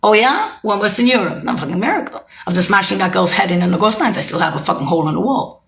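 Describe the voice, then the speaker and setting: Heated woman with a gravelly, bright voice. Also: mid-range voice, sing-song, fast talker. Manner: heated, profane, accusing; loose, jumping from thought to thought. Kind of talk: rambling monologue to camera.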